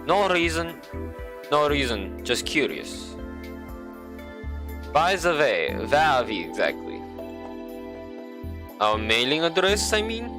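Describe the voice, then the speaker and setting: A young man reading a visual novel aloud, with playful, sarcastic asides and mock-dramatic slowdowns. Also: on the high side, big pitch swings, music in the background. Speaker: game streamer.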